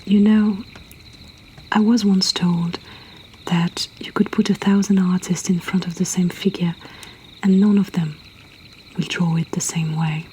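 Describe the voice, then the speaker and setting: A woman softly whispering a poetry reading as an intimate storyteller host, breathy and deliberate with long pauses. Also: lowish voice, fairly even intonation, a little hiss or hum, low-quality recording.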